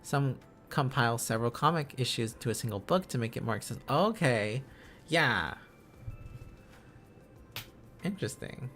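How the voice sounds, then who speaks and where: A man chatting casually as a livestream host, musing aloud in halting, repeated phrases. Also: medium pitch, expressive, fairly bright tone, soft background music. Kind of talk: casual livestream chat while learning a language.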